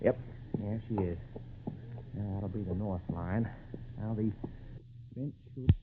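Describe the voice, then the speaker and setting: A man's voice: fairly low pitch, takes it slowly. A man playing a polite, assured city lawyer in 1940s radio acting. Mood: easygoing. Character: calm, courteous city lawyer. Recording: noisy, tinny 1940s radio recording.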